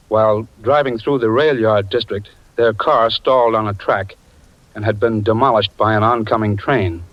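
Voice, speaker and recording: An actor narrating as a shaken man recalling a strange tale, measured and grave, in 1940s radio drama style. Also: on the low side, close to an everyday voice. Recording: dull old radio broadcast.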